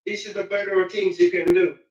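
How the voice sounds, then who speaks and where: A man from Haiti with a Haitian French accent, a French speaker talking haltingly in English and searching for words. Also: sits high, little pitch movement, roomy sound, distant mic, muffled recording. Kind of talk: casual conversation.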